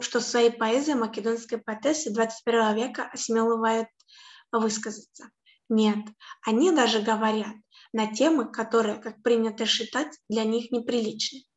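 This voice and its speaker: Young woman lecturer on a webcam, slightly high voice, measured delivery with hesitant fillers, echoey room. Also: muffled recording. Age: young adult. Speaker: lecturer on Slavic poetry. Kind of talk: online lecture. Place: home room with bookshelves. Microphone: webcam on video call.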